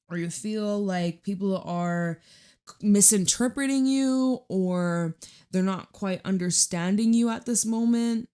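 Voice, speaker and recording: A woman with a raspy, hoarse voice giving a chatty, reassuring tarot reading to her viewers and swearing now and then. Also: medium pitch, dark tone. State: hoarse from screaming. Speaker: tarot reader.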